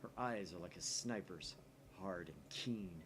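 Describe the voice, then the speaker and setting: A man's voice: low-pitched, measured pace, noisy background. A man reading first-person visual novel narration aloud as a storyteller. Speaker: gaming channel reader and narrator.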